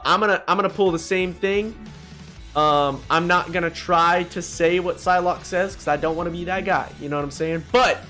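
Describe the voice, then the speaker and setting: A young man with a slightly high voice, set over music. He gives casual gaming commentary as a video host, opinionated and full of slang and swearing. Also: bright, forceful tone. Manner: slangy, profane, opinionated, exclaiming. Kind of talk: casual tier-list commentary.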